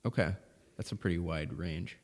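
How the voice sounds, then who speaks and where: A man's voice: low voice, normal speed, a little mellow. A man co-hosting a podcast, chatting casually in reaction, with a laid-back, dryly joking manner. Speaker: podcast co-host. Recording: long reverb, hall-like room.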